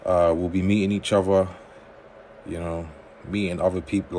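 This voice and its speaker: Low-voiced man reading live comments. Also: a little mellow, a little hiss or hum. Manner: reads viewer comments aloud and replies conversationally. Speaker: livestream host giving dating advice. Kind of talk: casual livestream chat reading comments.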